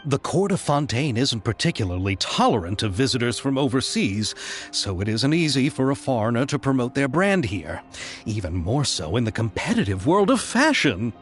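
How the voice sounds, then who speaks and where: Actor as earnest, lively young filmmaker. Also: male voice, medium pitch, normal speed, faint music behind, lightly acted. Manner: explanatory, courteous. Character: earnest, polite young male filmmaker, sympathetic. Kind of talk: video game voice acting.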